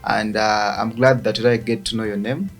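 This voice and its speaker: A man's voice: lowish voice, steady tone, a little fast, faint music behind. A man hosting an interview show, asking polite questions and humming acknowledgements. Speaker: talk show host.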